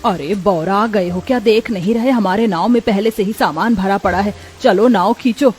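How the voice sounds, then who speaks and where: A woman's voice: medium pitch, normal speed, a little mellow, faint music behind, natural voice. A woman voicing a brusque, selfish village wife who is scolding and dismissive in a story. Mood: scornful.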